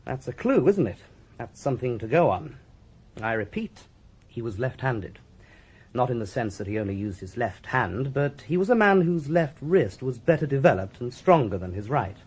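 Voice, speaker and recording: An actor playing a British forensic doctor in a radio drama, lecturing with precise, self-satisfied relish. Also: male voice, medium pitch, sing-song, normal speed, darkish tone, slightly rough. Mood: pleased, instructive. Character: brisk, relishing male forensic doctor, theatrically pedantic.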